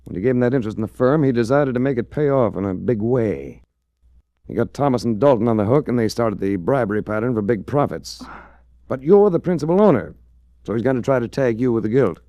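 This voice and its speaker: An actor playing a terse, controlled hard-boiled detective in a 1940s radio drama. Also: male voice, mid-range voice, lively intonation, quick pace, dark tone, no echo, muffled recording, natural voice. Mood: grave, probing. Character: cool, hard-boiled male detective hero. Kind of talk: 1940s radio detective drama acting with first-person narration.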